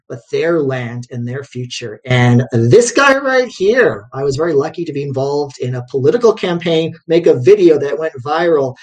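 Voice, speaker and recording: A man's voice: a little high, a little mellow. A man talking in a rambling, conversational way as a guest in an interview. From United States. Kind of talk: casual interview talk.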